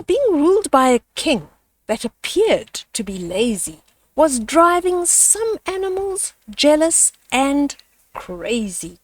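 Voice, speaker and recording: An older woman hosting a children's storytime, reading a picture book aloud in a measured, dramatic way. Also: fairly high pitch, dry recording, recorded from a distance.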